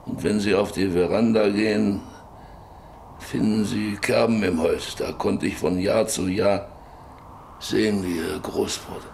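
An actor playing a sick, stubborn, lonely old rancher in a German radio play, halting and wistful, lost in memories. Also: male voice, lowish voice, fairly even intonation, gravelly, darkish tone. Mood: wistful, haunted. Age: older. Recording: noisy radio play with ambient sound.

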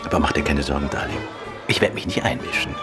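Ein Schauspieler spielt in einem Hörspiel einen weltgewandten, ironisch neckenden Krimiautor und Amateurdetektiv. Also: male voice, a bass voice, fast talker, loud music behind the voice. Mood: teasing, ironic. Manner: suave, ironic, playful. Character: urbane, teasing gentleman novelist and amateur detective. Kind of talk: German radio play acting.

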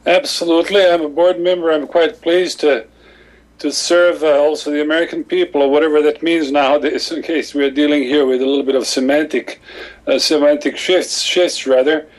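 An older man answers questions in a somewhat high voice. He speaks in a measured way and stops to rephrase his points. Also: steady tone, low-quality recording. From Croatia. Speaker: interview guest.

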